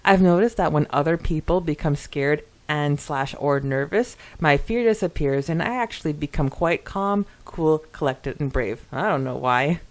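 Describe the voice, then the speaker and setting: A woman's voice: sits low, normal speed, few pauses. A woman co-hosting a podcast, giggly, reading out listener comments in a casual chatty style. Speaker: podcast co-host.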